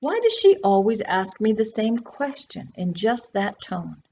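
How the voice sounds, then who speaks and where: A woman's voice: medium pitch, big pitch swings, unhurried, darkish tone, a little hiss or hum. A woman reading a storybook in the part of a resigned, politely reluctant thirteen-year-old girl. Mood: puzzled.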